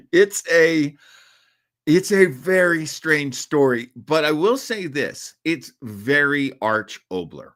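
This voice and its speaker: A man's voice: a little high, very animated, takes it slowly, pauses often, fairly bright tone. A man hosting a livestream with casual, conversational commentary, rambling and a little hesitant. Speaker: livestream host. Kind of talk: casual commentary on old radio.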